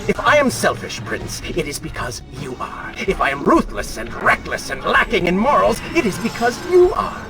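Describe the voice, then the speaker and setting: An actor playing an arrogant, taunting dark spirit, a prince's wicked other self, with mocking, theatrical delivery in a video game. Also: male voice, high voice, huge pitch swings, moderate pace, few pauses, full character voice. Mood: cold, persuasive. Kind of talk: dramatic video game acting. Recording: loud music under the voice.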